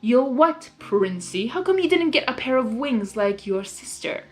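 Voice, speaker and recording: A woman voicing a mocking, pompous male chaos creature, taunting in a drawn-out theatrical way. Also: a little high, lively intonation, darkish tone, faint music behind.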